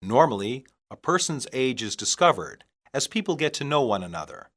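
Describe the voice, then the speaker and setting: Male language-lesson reader, mid pitch, animated intonation, deliberate delivery in separate phrases for learners. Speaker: English teacher reading practice phrases. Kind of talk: scripted language-lesson reading.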